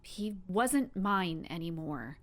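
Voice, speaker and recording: Casting a woman for audio drama: young superpowered heroine-or-villain, guarded, emotionally open underneath, lively intonation. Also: fairly low pitch, takes it slowly. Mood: regretful.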